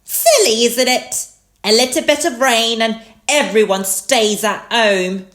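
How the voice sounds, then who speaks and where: A woman's voice: fairly high pitch, slow, fairly bright tone, reverberant, voice pushed up. A woman voicing a friendly lady rabbit shopkeeper in a children's storybook, chatty and breezy.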